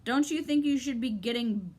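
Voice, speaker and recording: A woman voicing a fierce, boastful male humbug insect in a storybook reading, blustering and loud. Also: a little high, lively intonation, moderate pace.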